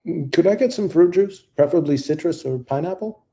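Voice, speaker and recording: A man's voice: fairly high pitch, brisk, mellow, dull audio, natural voice. A man playing a polite, confident AI company spokesman in an audio play. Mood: polite, casual. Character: smooth-mannered, confident male AI company spokesman.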